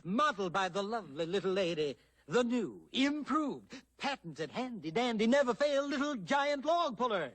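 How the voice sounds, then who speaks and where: An actor voicing a debonair, smooth-talking male stray dog in a 1950s animated film, with playful salesman patter. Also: high-pitched, moderate pace, full character voice. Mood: salesy. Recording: film clip with music behind.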